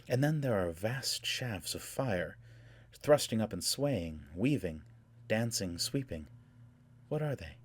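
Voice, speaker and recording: A man voicing a haughty, rapturous young noblewoman in an audiobook, with a soft, husky voice and a languid, taunting delivery. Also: on the low side, animated, dry recording, heavily acted. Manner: theatrical, languid, taunting, with drawn-out words.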